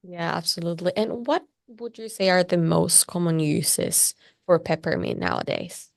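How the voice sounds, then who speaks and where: Gravelly woman interviewer, dry sound. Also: lowish voice, moderate pace, dry recording. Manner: agreeable, affirming. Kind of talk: interview questions.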